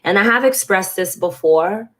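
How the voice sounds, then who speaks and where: A woman's voice: medium pitch, lively intonation, moderate pace. A woman vlogger with a congested, stuffy voice, talking frankly and emotionally to camera and swearing casually. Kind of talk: candid talk to camera.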